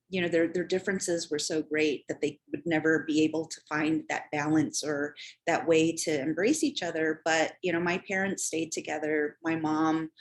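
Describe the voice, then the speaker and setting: A middle-aged woman from Chicago, speaking on a panel about her upbringing in a halting way, with many pauses and fillers. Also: deep voice. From Chicago, United States. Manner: halting, many "um" and "you know". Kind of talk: personal story on a panel.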